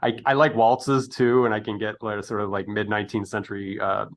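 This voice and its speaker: Low-pitched man hosting a casual interview. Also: level tone, brisk, a little hiss or hum. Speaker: interviewer, podcast host.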